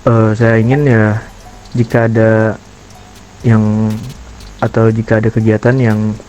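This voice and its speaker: A mellow-voiced man acting as a senior family member in a game roleplay explains things in hesitant phrases. His pitch moves little, and there is faint noise behind him. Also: flat delivery, unhurried, muffled recording, close to an everyday voice. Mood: explanatory, calm. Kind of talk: improvised game roleplay meeting.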